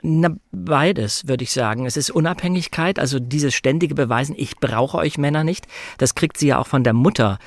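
A man's voice: mid-range voice, normal speed, few pauses, dry recording. Reflective man, dry close sound. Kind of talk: conversational film analysis.

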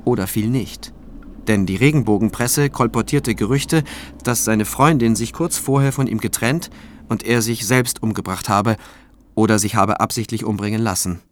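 An actor playing a cautious prosecutor in his forties, narrating his story in a radio thriller in a measured, dryly ironic way. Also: lowish voice, slight background noise, lightly acted. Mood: reflective, dry. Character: forty-year-old cautious male prosecutor telling his own story. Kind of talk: scripted first-person radio drama narration. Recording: music behind the voice. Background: music.

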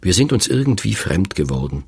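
A man in his fifties narrating a radio feature in a slow, musing, reflective way. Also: deep voice, very animated, creaky, a little mellow, slightly rough, no echo. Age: 50s.

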